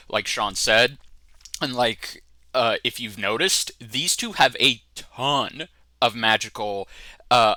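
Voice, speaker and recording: Deep, very bright-voiced man chatting enthusiastically. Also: dry recording. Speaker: co-host of a fan review show. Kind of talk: casual review chat.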